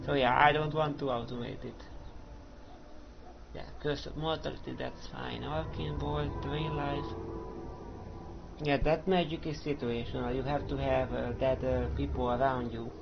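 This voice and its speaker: A man's voice: mid-range voice, little pitch movement, a little slow, creaky. A man with a Hungarian accent, thinking aloud as he comments casually on a game he is playing. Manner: thinking aloud, hesitant, chuckling. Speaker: let's play host. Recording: dull lo-fi mic with background noise.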